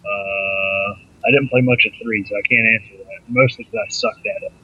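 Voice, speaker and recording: A man's voice: fairly low pitch, sing-song, moderate pace. A man bantering on a gaming podcast, jokey and self-mocking, on a dull lo-fi mic. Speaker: podcast co-host. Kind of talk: casual gaming podcast chat.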